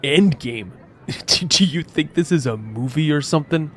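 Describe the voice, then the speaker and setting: A man's voice: a little high, expressive, a little slow, a bit bright. A man playing a snobbish, bored teenage bully in an audio drama, blunt and dismissive. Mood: mocking, bored. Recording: clear background noise, outdoor ambience.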